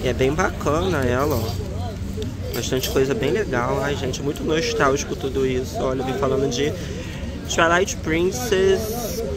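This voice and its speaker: A man vlogging casually from a busy outdoor flea market, excited and chatty, showing collectibles. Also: mid-range voice, measured pace. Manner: excited, chatty, often says "né" and "ó". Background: loud crowd.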